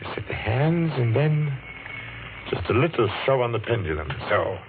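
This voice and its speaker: An actor playing a brooding, vengeful middle-aged clock repairman in old radio mystery style, speaking in a deliberate, dramatic way. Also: mid-range voice, very animated, background noise, close to an everyday voice. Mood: cold resolve. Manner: deliberate, dramatic, halting when speaking to others. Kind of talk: 1940s radio mystery acting, first-person narration. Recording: dull vintage radio recording with music.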